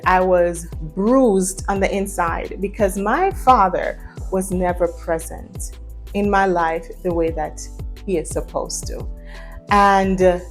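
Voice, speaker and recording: A Jamaican woman vlogging from her home, talking earnestly to camera in a preachy, emphatic way and repeating phrases for stress. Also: medium pitch.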